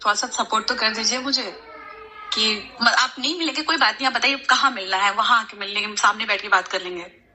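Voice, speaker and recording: A woman's voice: fairly high pitch, quick pace, pushed and bright. A woman caller on a recorded phone conversation, politely but persistently asking questions and pressing for support. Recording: noisy recorded phone call.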